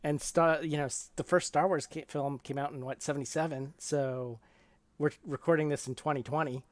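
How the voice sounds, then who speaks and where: Dry, higher-voiced middle-aged man hosting. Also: steady tone, moderate pace, dry recording. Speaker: podcast host. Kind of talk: casual podcast conversation.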